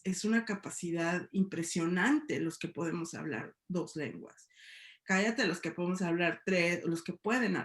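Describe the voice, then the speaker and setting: A woman's voice: medium pitch, reverberant. A middle-aged woman with a Mexican Spanish accent, a sociology professor talking thoughtfully in a debate, often asking "¿no?". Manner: thoughtful, many hedges and tag questions. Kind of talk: reflective debate talk.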